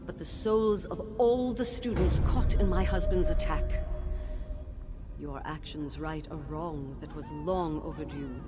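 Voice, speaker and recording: Grieving ghost wife, slightly low voice, solemn and formal, loud game score behind. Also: female voice, measured pace. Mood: grateful. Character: grieving ghost wife of a lich, noble and earnest. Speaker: sorceress ghost. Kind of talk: video game voice acting. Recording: game audio with loud music. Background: orchestral score.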